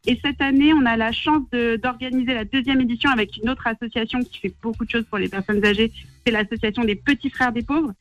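Upbeat woman radio guest, quick talker. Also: a little high, steady tone, no echo, highs cut off, soft background music. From Mexican heritage, raised in France. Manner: upbeat, quick, many fillers. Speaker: charity representative, radio guest. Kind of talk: radio interview, casual.

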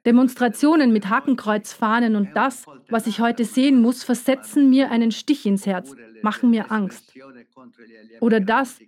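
Warm, higher-voiced woman in voice-over. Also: moderate pace. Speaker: voice-over speaker. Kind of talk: first-person documentary voice-over.

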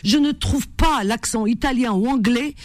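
A woman hosting a call-in radio show, expressive and quick to sympathize, laughing one moment and earnest the next, into a studio microphone. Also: fairly high pitch, dry recording. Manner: animated and expressive, frequent sympathetic exclamations; laughs often, then turns earnest. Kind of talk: casual call-in radio chat.

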